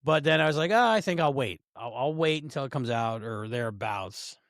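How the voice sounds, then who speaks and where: A man's voice: medium pitch, animated, a little fast, a bit bright, no room sound, clean studio sound. A man co-hosting a podcast, chatting casually with a playful manner. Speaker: podcast co-host. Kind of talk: casual chat.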